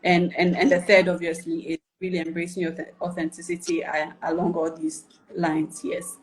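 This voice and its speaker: A woman's voice: lowish voice, little pitch movement, normal speed, low-quality recording. A woman coaching on personal branding in a live video chat, explaining patiently and often checking that the listener follows. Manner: explanatory, checks for understanding with "right?" and "okay?"; builds points with repeated questions and enumerations.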